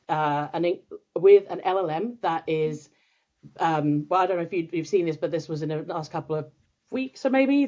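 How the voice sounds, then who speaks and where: A woman's voice: lowish voice, dark tone. A woman from Finland, a native Finnish speaker, talking thoughtfully as a guest expert in a casual interview, with many restarts and fillers. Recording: dull lo-fi sound.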